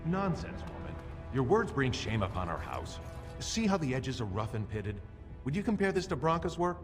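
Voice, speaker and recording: An actor playing a haughty, scornful dwarf husband in a fantasy game, stern and dismissive. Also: male voice, mid-range voice, very animated, normal speed, lightly acted. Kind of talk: fantasy video game voice acting. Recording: echoey hall with game music.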